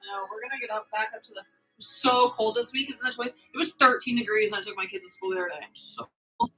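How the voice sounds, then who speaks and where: A woman in her thirties with an Idaho accent, talking in a chatty, excitable way. Her voice is bright and sits fairly high. Faint music runs underneath. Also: rapid-fire, husky, poor audio. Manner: chatty, excitable, swears casually. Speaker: co-host of a couple's channel. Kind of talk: casual chat on a live stream.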